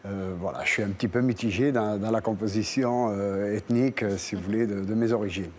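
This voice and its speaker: A man appearing as a talk show guest, answering in measured, hesitant sentences. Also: lowish voice, steady tone, a little mellow, slight background noise. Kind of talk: studio interview answers. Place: television studio.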